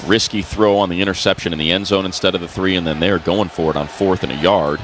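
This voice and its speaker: A man's voice: sits low, fairly even intonation, fast, faint music behind. A man doing live football play-by-play on the radio. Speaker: play-by-play announcer. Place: open stadium broadcast booth. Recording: loud stadium ambience behind. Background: loud stadium ambience.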